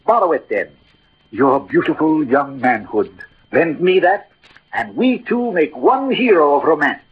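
An actor playing an eloquent, lovelorn poet-soldier with ardent, lyrical delivery in old radio drama style. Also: male voice, fairly high pitch, big pitch swings, unhurried, breathy edge, exaggerated voice. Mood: wry, urging. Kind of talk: 1940s-50s radio drama acting. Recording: dull old radio recording.

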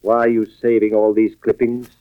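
A man playing a grave, suspicious husband and fire investigator in a mid-century radio drama, with deliberate, dramatic delivery. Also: fairly low pitch, completely flat delivery, dry recording, lightly acted. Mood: accusing, tense. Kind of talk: 1940s-50s radio drama acting, first-person narration. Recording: muffled vintage radio recording with music behind.

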